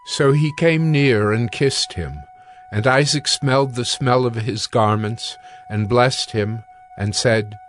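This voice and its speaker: Mid-pitched man narrating scripture over music. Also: slow pace. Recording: music under the voice.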